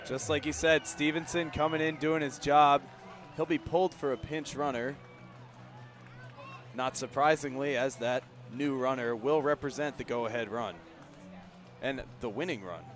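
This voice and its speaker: A man giving live baseball analysis on the radio in a conversational, slightly halting way, outdoors at a ballpark. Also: medium pitch, fairly even intonation, soft background music. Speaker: color commentator.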